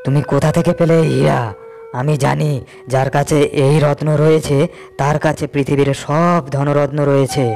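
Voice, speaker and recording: A man's voice: on the high side, little pitch movement, normal speed, creaky, darkish tone, a little hiss or hum. A man voicing a stern, proud king in a storybook cartoon, speaking in a commanding, scolding way. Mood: thoughtful.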